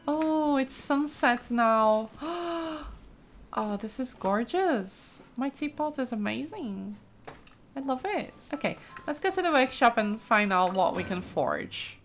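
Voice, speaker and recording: A woman's voice: sits high, lively intonation, slow pace, a little hiss or hum. A woman giving casual, chatty let's play commentary, thinking aloud with frequent exclamations as she explores a game. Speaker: gaming streamer, let's play host.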